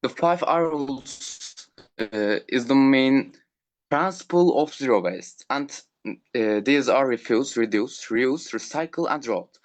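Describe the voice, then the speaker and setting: A teenage boy from Turkey, a non-native English speaker, presenting a school talk over an online call, halting and filler-heavy. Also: mid-range voice, lively intonation, low-quality recording. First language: Turkish. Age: teens. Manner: halting, reads points with fillers. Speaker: student speaker. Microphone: remote call microphone.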